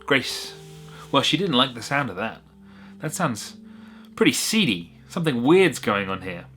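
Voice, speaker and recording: Man, whimsical story narration, wry conversational asides, very lively intonation, bright tone, faint music behind. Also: mid-range voice, unhurried.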